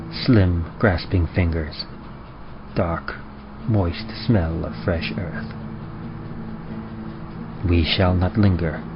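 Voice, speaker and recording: A man narrating horror in a dramatic old-time radio style, with a grave, deliberate and ominous delivery. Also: deep voice, background noise. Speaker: horror story narrator and presenter. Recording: muffled, with prominent music behind. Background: music.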